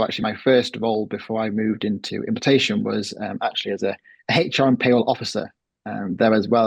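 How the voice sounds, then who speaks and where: A man from Manchester introducing himself on a business webinar panel, relaxed with many fillers. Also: lowish voice, creaky, breathy edge, no echo, highs cut off. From Manchester, England. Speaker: panelist, services director.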